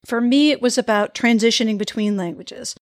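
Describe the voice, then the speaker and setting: A woman's voice: on the high side, a little fast, dry recording. Female interview host, born in the United States; enthusiastic, affirming, long-winded questions, dry close recording. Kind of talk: casual interview conversation.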